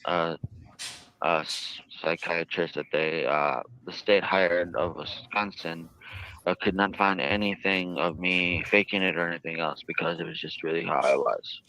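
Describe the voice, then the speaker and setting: An American man being interviewed, explaining himself in a halting, hesitant and wordy way. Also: deep voice, steady tone, pushed and bright. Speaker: jail inmate being interviewed. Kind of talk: recorded interview. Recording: dull, close lo-fi interview audio.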